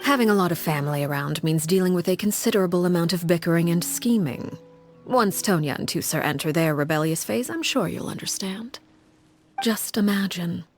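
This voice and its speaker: A cold, composed game villainess with a lowish voice, speaking slowly and formally, each line carrying a quiet threat. Faint game music plays under her lines. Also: animated. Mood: dry. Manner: measured, formal, quietly menacing. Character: cold, composed woman who leads a household of orphans. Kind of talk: game cutscene voice acting.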